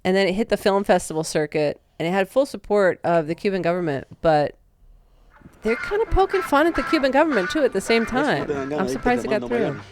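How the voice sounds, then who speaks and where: A woman's voice: mid-range voice, expressive, brisk, background noise. A woman co-hosting a podcast, recapping a movie in a chatty, rambling way. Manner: chatty, rambling with fillers, laughs easily. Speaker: podcast co-host.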